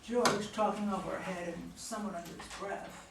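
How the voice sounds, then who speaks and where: Female stage-directions reader, medium pitch, distant echoey recording with background noise. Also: fairly even intonation, fairly quick. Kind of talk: reading stage directions aloud. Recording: echoey room, far mic, clear background noise.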